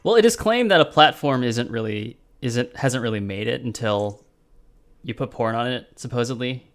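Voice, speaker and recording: A man with a middle-pitched voice talks through ideas on a game development stream, often restarting sentences and laughing as he goes. He speaks casually over a video call from a room at home. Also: expressive, normal speed. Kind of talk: casual chat during a dev stream.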